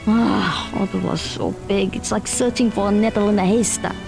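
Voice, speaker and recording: A woman's voice: mid-range voice, fairly even intonation, normal speed, gravelly, close to an everyday voice, low-quality recording. A woman playing an informal, easily needled teenage girl in an audio drama, casual and a bit defensive. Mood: apologetic, downcast. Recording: music behind the voice.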